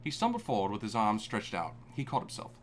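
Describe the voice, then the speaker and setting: A man's voice: on the low side, wildly swooping pitch, fast, slight background noise. Young man stumbling through a reading. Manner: stumbling, self-conscious reading. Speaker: co-host reading aloud. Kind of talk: casual reading aloud on a stream.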